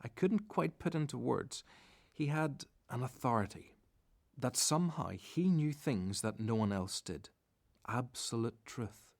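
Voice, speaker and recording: A man's voice: medium pitch, animated, unhurried, creaky. An actor playing a reflective disciple who narrates his story in first person for a radio play.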